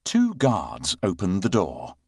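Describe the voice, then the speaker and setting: A man tells a scripted fairy tale for children. His voice is fairly low and unhurried, swooping dramatically up and down as he narrates. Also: breathy edge, dry recording, studio quality. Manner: dramatic, expressive. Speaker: storyteller narrator.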